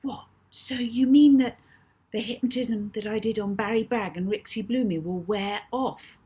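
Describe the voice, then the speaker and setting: A woman's voice: mid-range voice, normal speed, mellow, husky, voice pushed up. A woman voicing a troubled, self-doubting young girl in a children's audiobook, speaking in a hesitant, emphatic, confiding way. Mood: startled. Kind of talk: children's audiobook character dialogue.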